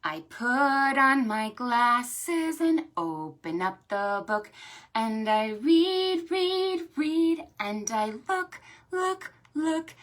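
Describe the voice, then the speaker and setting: A woman hosting a storytime for preschoolers, talking to small children in an animated, sing-song way. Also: a little high. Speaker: children's librarian and storytime host. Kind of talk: preschool storytime with stretches and songs.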